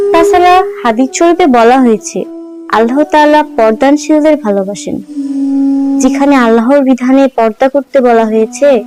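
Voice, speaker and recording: A woman voicing a pious, gentle young elder sister in a cartoon, explaining things politely and carefully. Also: on the high side, close to an everyday voice, poor audio. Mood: respectful, devout. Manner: polite, didactic, careful enunciation. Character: pious, gentle older sister, a young girl who teaches. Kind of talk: cartoon dialogue with instructive explanations.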